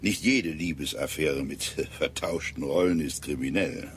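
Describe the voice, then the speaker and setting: An actor playing an authoritative, deliberate ship's captain in a German radio drama, with hesitant pauses. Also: male voice, a bass voice, a little hiss or hum. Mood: firm, cautious. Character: gruff, measured male British ship's captain.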